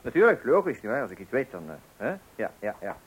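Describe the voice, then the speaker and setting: A man's voice: medium pitch, very animated, fast talker, breathy edge, low-quality recording. Flustered father, voice swinging widely. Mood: harried. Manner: hesitant, stammering, exasperated. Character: flustered, weary father awaiting a birth at night. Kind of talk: radio play acting.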